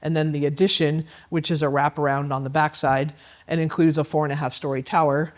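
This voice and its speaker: Man, tour guide, slightly high pitch, halting speech with fillers, indoors in an old house. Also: level tone. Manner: halting, many fillers and restarts. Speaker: historic site guide. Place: indoors in a historic house, dining room.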